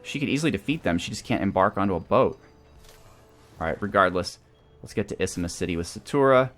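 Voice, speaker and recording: Low-voiced man doing casual game commentary. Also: expressive, quick pace, fairly bright tone, soft background music. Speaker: let's play host. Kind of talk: casual gameplay commentary, thinking aloud.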